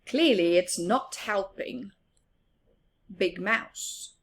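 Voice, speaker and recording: A woman's voice: mid-range voice, very slow, slight background noise. A woman hosting storytime, reading aloud expressively to young children and adding chatty asides. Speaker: storytime host.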